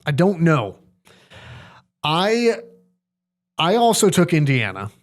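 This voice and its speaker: Higher-pitched man, hesitant sports talk. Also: very animated. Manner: hesitant, thinking aloud, measured. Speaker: sports podcast co-host. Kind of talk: casual sports discussion.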